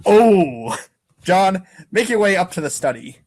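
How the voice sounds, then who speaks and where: A man's voice: sits high, very animated, a little slow, darkish tone. A man giving a playful, theatrical, exclamatory reading of a comic as its narrator. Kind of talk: playful dramatic reading of a webcomic.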